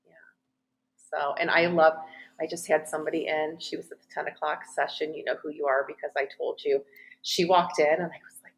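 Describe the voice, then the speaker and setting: A woman's voice: sits low, flat delivery, fairly quick, low-quality recording. A woman in her forties talking effusively in a casual conversation as a fitness coach. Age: 40s.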